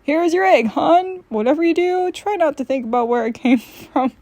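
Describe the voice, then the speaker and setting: A brassy diner waitress, acted by a woman reading aloud, pitched high and calling out loudly. She is folksy and unflappable, a comic storybook character in a dry, close recording. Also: animated, normal speed, dry recording. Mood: breezy. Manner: loud, folksy call-outs.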